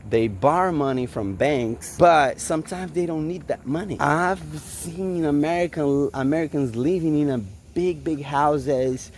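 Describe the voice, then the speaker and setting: A man in his forties from Brazil, with a Brazilian Portuguese accent and Portuguese as his first language, vlogging casually outdoors after a jog, halting and searching for words with many fillers. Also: fairly high pitch, darkish tone, a little hiss or hum. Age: 40s. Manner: just back from a jog. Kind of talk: casual vlog to camera. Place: outdoors in a park.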